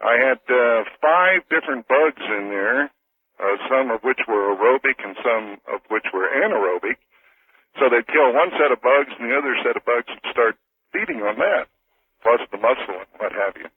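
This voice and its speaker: Male American phone-in caller, husky mid-range voice, measured and factual, thin telephone audio. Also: medium pitch, animated, dry recording. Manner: measured, matter-of-fact, pauses between phrases. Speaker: phone-in caller to a radio show. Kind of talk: matter-of-fact personal account by phone. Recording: thin telephone line on radio.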